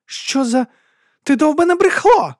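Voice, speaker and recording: A man performs a vengeful warrior woman in a dark fantasy story. The voice is pushed high and loud, strained with bitter defiance. It sounds close and dry. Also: expressive, fast, dry recording. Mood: outraged, screaming. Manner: shouting, strained, near screeching.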